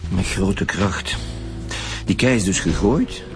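A Flemish actor playing a brisk, impatient chief homicide detective in a radio drama, terse and commanding. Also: male voice, low voice, animated, music in the background, heavily acted. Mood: probing. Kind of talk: naturalistic radio drama dialogue.

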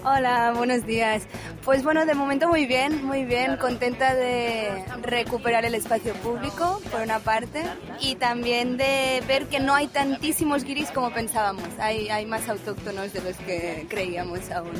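A woman's voice: fairly high pitch, steady tone, normal speed, music in the background. A woman chatting casually in an outdoor interview as a radio volunteer at a street stall. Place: outdoors on a city promenade.

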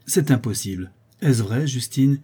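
A man's voice: mid-range voice, unhurried, dark tone, slightly rough. A man voicing a stern, imperious countess in a storybook, with a curt and commanding manner. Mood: outraged. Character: stern, imperious countess, an outraged aristocratic mother.

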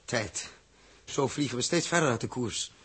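An actor playing a level-headed, decisive spaceship captain, firm and reasoning, in a 1960s radio drama. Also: male voice, mid-range voice, moderate pace, fairly bright tone, breathy edge.

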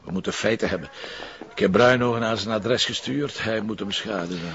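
Actor as a gravelly, cynical Flemish detective. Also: male voice, on the low side, brisk, lightly acted. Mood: businesslike. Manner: sardonic, teasing, blunt. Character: cynical, wisecracking male police detective, opinionated and flirtatious. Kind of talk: television crime drama acting. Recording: muffled, noisy drama soundtrack.

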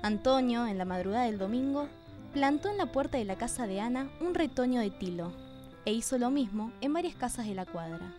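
A woman's voice: fairly high pitch, expressive, brisk, music in the background. A woman reading scripted narration for a radio drama.

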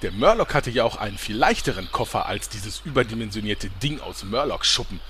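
A man's voice: fairly low pitch, very animated, brisk, a bit bright, a little hiss or hum. A man playing a nagging, self-pitying cook and henchman in a fantasy parody radio play, whining and pedantic. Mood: pedantic, aggrieved.

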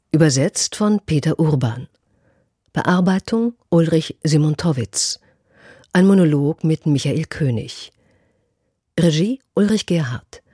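A woman's voice: deep voice, warm tone, dry recording. A woman presenting radio plays as an announcer, reading scripted credits and introductions in a studio. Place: dry broadcast studio.

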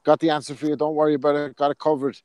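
A man's voice: a little high, flat delivery, racing, over a noisy crowd. A man co-hosting a casual podcast, cracking jokes and laughing at his own quips. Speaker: podcast co-host. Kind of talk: casual podcast chat about boxing.

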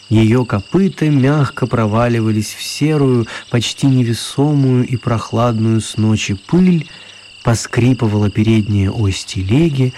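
A man's voice: mid-range voice, a little mellow, a little hiss or hum. A man narrating a radio play as a scripted storyteller.

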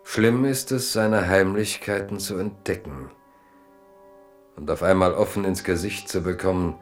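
A male narrator for a radio drama, pitched deep. He reads literary prose as a storyteller would. The delivery is measured and dramatic. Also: steady tone, soft background music.

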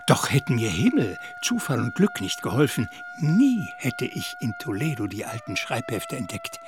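A man narrating a radio play as an eager chronicler of knightly adventures, in a literary storytelling manner. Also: medium pitch. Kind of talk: dramatic scripted narration.

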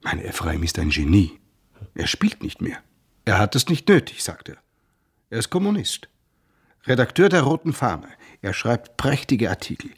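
An actor playing a humble, devout old coachman in a radio drama, telling things in a plaintive, emphatic way with a husky voice. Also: on the low side, very animated, normal speed, exaggerated voice. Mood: proud.

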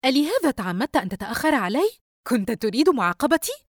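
Casting: female reader as exasperated young working woman, raised pitch, wounded and indignant, audiobook drama. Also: expressive, fast talker, a little mellow, dry recording, studio quality, lightly acted. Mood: angry. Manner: indignant, incredulous, pleading.

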